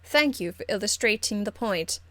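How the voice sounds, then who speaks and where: A woman voicing a haughty, aristocratic lady mage from a fantasy game, icily polite, measured and condescending. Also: mid-range voice, animated, fairly bright tone, dry recording, natural voice. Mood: lofty amusement. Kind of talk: video game character acting.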